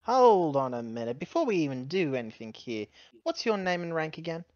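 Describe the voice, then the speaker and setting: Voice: mid-range voice, very animated, a little fast, darkish tone, no echo, lo-fi sound. A player chatting casually during a tabletop roleplaying game.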